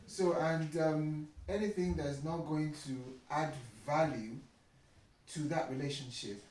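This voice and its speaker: A man's voice: fairly high pitch, unhurried, darkish tone. A Nigerian man with a Nigerian accent, talking casually as an interview guest in an explanatory way and often checking that he is understood. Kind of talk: casual conversation about marriage. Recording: echoey room, distant mic, faint noise.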